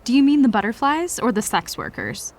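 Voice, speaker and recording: Nosy female tourist: highish voice with lively swings, blunt comic audio-drama delivery. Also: brisk. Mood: curious. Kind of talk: audio drama comedy acting.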